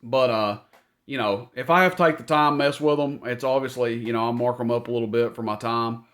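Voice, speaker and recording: A man's voice: medium pitch, fairly quick, fairly bright tone. A man giving an informal, matter-of-fact, rambling rundown of technical gear as a seller. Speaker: radio and amplifier seller presenting inventory. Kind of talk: informal product rundown to camera. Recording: noticeable background noise.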